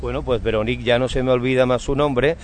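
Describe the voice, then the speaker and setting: A man's voice: medium pitch, fairly even intonation, fast talker, slight background noise. Guy doing a chatty local radio interview, really courteous and flowery with his words. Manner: effusive, courteous, florid phrasing. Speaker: radio host interviewing on the street.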